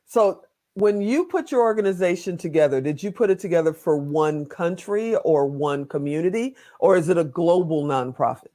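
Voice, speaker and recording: A woman's voice: fairly low pitch, lively intonation, moderate pace, warm tone. A woman hosting an interview show, chatty and jokey, asking long rambling questions. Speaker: talk show host. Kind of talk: casual interview conversation.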